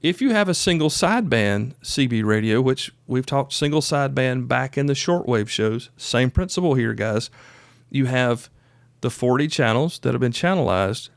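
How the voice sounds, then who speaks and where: A man's voice: mid-range voice, expressive, normal speed, creaky, no room sound. A man hosting an informal training show, explaining things in a plain-spoken, folksy, conversational way. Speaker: host of a prepper radio training show. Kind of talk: informal instructional talk.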